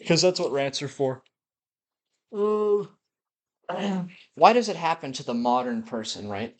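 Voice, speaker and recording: A man's voice: a little high, lively intonation, a little slow. A man with a slight lisp rambling through a personal audio journal in a musing, self-deprecating way, recorded on a built-in laptop mic while walking around a house. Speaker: vlogger. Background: dogs' claws clicking.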